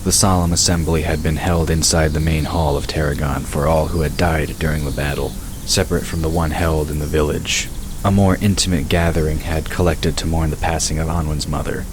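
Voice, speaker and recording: A man with an extremely low voice narrates a fantasy story in a scripted audiobook style. Birdsong, crickets and clear background noise sit behind his voice. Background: birdsong, crickets, outdoor ambience.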